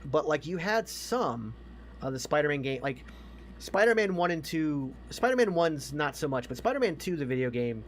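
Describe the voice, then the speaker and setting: A man's voice: on the high side, big pitch swings, moderate pace, slight background noise. A man co-hosting a casual podcast chat, giving emphatic opinions and swearing freely. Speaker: podcast co-host. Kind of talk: casual opinionated chat about games and movies.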